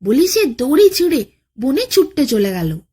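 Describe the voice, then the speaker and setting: High-pitched, very animated woman storyteller. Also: a little slow. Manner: expressive, dramatic storytelling. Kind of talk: scripted narration of children's bedtime folk tale.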